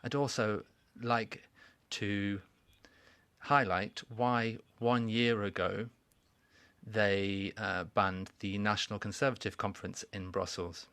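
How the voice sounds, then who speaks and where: A man with a fairly low voice delivers formal welcoming remarks at a conference. He speaks slowly and deliberately, pausing and restarting as he goes, in a dry sound with little room echo. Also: steady tone, fairly bright tone, clear, resonant tone. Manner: measured, formal address with pauses and restarts. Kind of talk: interpreted welcoming speech. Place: conference hall.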